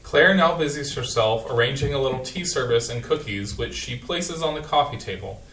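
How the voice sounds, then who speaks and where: A reader speaking the stage directions aloud in a plain, even way during a play reading. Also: medium pitch, moderate pace, slight background noise. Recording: echoey hall, voice from far off.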